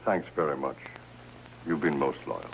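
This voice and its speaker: Deep-voiced, grave, troubled wealthy husband. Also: male voice, gravelly. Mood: shaken, weary. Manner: grave, formal, halting. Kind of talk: 1950s crime drama acting. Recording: noisy old soundtrack.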